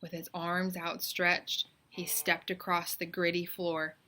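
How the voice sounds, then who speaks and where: Bright-voiced woman reading a children's story. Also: lowish voice, monotone, slow. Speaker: teacher reading aloud. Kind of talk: expressive children's storybook narration.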